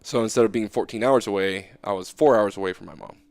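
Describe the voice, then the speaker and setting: A young man talking casually as an interview guest, animated and loose, often checking in with "right?". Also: lowish voice, fast talker, clean tone, no room sound.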